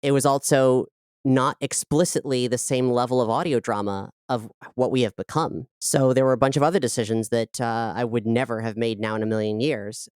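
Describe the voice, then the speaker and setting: Low, crisp-voiced woman explaining creative work. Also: darkish tone, no room sound, studio quality. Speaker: audio drama creator and director, interview guest. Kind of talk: conversational interview explanation.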